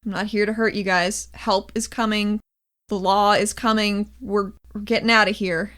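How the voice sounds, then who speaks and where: A woman's voice: medium pitch, flat delivery, normal speed, fairly bright tone, lightly acted. A woman playing a protective woman fighter in a tabletop game, urgently reassuring frightened hostages. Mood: reassuring, firm. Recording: voice over background music.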